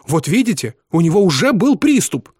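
An audiobook character: a fiercely protective mother acted by a man, indignant and scolding. The pitch is raised and the delivery is louder, in sharp exclamations, recorded dry and close. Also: sing-song, normal speed, husky, no room sound, exaggerated voice. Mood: outraged. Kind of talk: dramatised audiobook dialogue.

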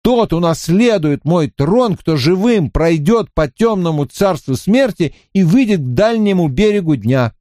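A man playing a fervent young wizard student, pitched up. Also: dark tone, no room sound, studio quality. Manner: earnest, fervent, slightly mocking. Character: eager talkative young male wizard student.

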